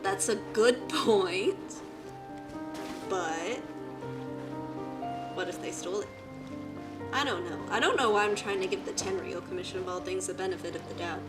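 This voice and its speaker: A woman's voice: medium pitch, moderate pace, pauses often. A woman streamer giving casual, offhand live commentary with joking asides as she plays a game. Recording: distant voice under loud game music. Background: loud game music and dialogue.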